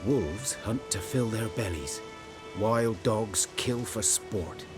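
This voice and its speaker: Low, unhurried rustic huntsman. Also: animated, music in the background. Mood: brooding. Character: wary, plain-spoken rustic village huntsman. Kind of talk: video game voice acting.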